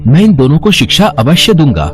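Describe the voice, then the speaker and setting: A man plays a proud young god in a devotional cartoon. He speaks formally and emphatically, with lively pitch and faint music behind. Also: a little fast. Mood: gracious, firm. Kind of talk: animated devotional story dialogue.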